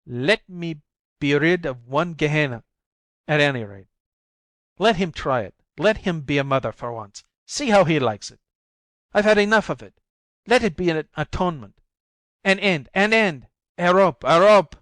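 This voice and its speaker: Frantic mother at breaking point, put on by a male reader, high and shrill, dry booth. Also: lively intonation, a little slow, fairly bright tone, no room sound. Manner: frantic, screaming, bitter. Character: desperate, worn-out young mother at breaking point. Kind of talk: audiobook reading.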